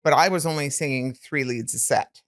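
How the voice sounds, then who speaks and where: A woman podcast host with a very low voice, chatting easily and talking in quick bursts. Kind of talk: casual podcast interview.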